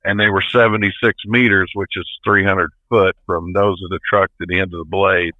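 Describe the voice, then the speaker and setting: Man, 50s, folksy trucker storyteller, lowish voice, thin phone-like sound. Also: flat delivery, fairly quick, dry recording. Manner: plain-spoken, folksy. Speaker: truck driver, interview guest. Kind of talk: casual storytelling. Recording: tinny phone-like line.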